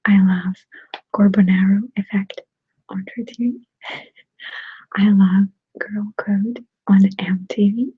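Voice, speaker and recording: Breathy whispering woman with Russian accent. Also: medium pitch, steady tone, slow pace, long pauses, dry recording. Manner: soft whispering, giggly, answers viewer comments. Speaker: ASMR channel host. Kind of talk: whispered ASMR live chat. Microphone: desktop USB microphone. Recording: dull, dry close-mic sound.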